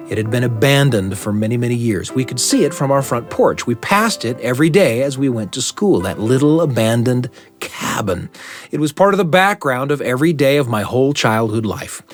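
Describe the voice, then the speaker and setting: A man telling a story as a radio host, with deliberate, suspenseful phrasing and dramatic repetition. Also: mid-range voice.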